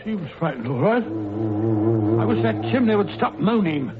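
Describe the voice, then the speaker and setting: Brief: jittery stammering doctor sidekick, high-pitched, thin tinny old radio sound. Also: male voice, very animated, moderate pace, background noise. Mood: grumbling. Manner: flustered, stammering. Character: nervous, grumbling English doctor companion. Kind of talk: 1940s radio acting.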